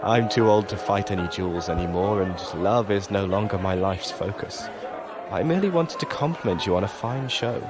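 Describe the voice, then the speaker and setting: An actor playing a courteous, world-weary old gentleman in an audio drama, courtly and gentle. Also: on the low side, moderate pace, loud music behind the voice, a loud crowd, close to an everyday voice. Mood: courteous, amused. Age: older.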